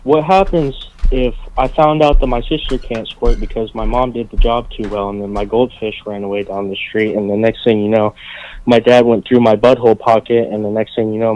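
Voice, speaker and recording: A man's voice: fairly low pitch, steady tone, fairly quick, highs cut off. A man calling in to an advice show, rambling through a goofy nonsense story. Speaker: caller.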